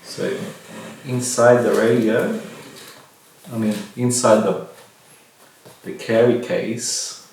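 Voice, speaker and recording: A man's voice: medium pitch, slow, creaky, pauses often, a little mellow. A middle-aged man giving a casual unboxing commentary in a vlogger's style. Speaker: vlogger reviewing gear. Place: indoor room with bare walls, at a table. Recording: very echoey room, distant mic, faint noise.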